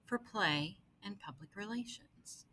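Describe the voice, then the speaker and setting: A woman reading an article aloud as scripted narration, with measured, deliberate phrasing. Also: medium pitch, expressive, bright, forceful tone, slight background noise.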